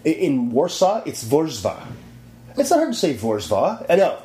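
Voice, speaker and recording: A man's voice: fairly high pitch, wildly swooping pitch, moderate pace, warm tone. A man in casual chat, ranting with lots of energy and swearing freely. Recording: roomy echo, faint hum.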